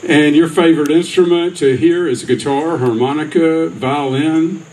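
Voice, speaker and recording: A man emceeing a songwriter contest on stage, asking brisk questions and teasing the contestants. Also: fairly high pitch, darkish tone. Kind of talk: onstage interview. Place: outdoor festival stage. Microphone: stand mic. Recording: distant mic with faint outdoor noise.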